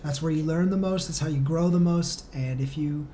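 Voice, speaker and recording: A man's voice: a little high, normal speed, dark tone. Man chatting over faint background noise. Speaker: band singer, podcast guest. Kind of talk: casual conversational chat.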